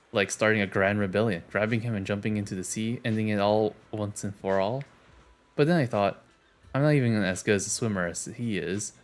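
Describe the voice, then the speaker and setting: A man's voice: on the low side, lightly acted. A man reading the part of a young woman bullied by her own dog, in a dry, self-mocking way.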